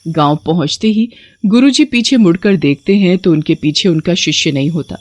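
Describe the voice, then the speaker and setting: A woman's voice: on the low side, normal speed, a little mellow, dry recording. Woman narrating a horror story.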